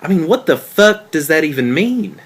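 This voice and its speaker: A man's voice: on the high side, sing-song, normal speed, slightly rough, heavily acted. Man acting as a jealous, probing boyfriend. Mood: accusing, sardonic. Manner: pointed, sardonic questioning. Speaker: actor in a script table read. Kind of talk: naturalistic dramatic table read.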